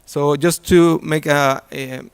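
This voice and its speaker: A man's voice: on the high side, steady tone, takes it slowly, gravelly, smooth. Man presenting an academic talk. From Chile. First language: Spanish. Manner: hesitant, many fillers and restarts. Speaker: conference presenter.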